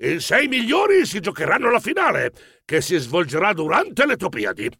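A man voicing a bossy old grandfather mouse who blusters and shouts, in a children's audiobook. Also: sits high, wildly swooping pitch, normal speed, vocal fry, breathy edge, dry recording, clean studio sound, exaggerated voice. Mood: proud announcing. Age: older.

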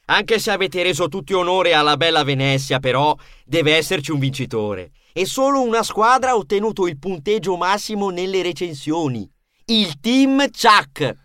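A man's voice: high-pitched, very animated, normal speed, pushed and bright, no room sound, full character voice. A man voicing a jovial gondolier who proclaims contest news in a children's story. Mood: proclaiming. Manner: hearty, proclaiming, teasing. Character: jovial male Venetian gondolier announcing a contest.

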